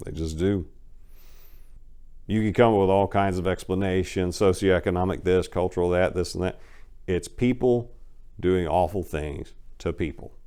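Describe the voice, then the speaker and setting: A man's voice: low voice, normal speed, warm tone, clear, resonant tone. A man reading fiction dramatically and talking to listeners in an emphatic, colloquial, folksy way. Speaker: author reading their own crime fiction, show host. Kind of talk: dramatic story reading and informal commentary to listeners.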